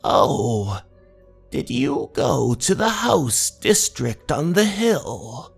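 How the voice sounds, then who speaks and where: A man voicing an ominous local who recounts dark lore in a slow, foreboding way for a horror manga dub. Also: medium pitch, big pitch swings, creaky, slightly rough, soft background music, pitched above the performer's own voice, heavily acted. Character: ominous local person recounting a cursed place's history.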